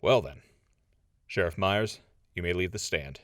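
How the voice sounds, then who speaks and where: A stern judge in a radio play. He is a man with a very low voice, measured and commanding, heard slow and dry from the bench. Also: a bit bright, no room sound. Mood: stern, even. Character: stern, authoritative male judge presiding over court. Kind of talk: audio drama acting.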